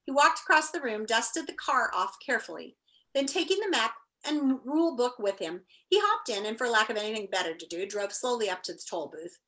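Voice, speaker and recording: A woman reading a children's book aloud expressively, with measured, dramatic phrasing and casual asides. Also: fairly high pitch, expressive, a bit bright, poor audio. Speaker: read-aloud storyteller. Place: indoor room.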